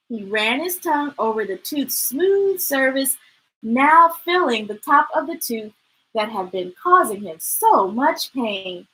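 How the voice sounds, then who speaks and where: A woman's voice: on the high side, pushed and bright, slightly rough, low-quality recording. A woman reading a children's storybook aloud expressively, dropping into chatty asides to her listeners. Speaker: storytime host reading picture books aloud.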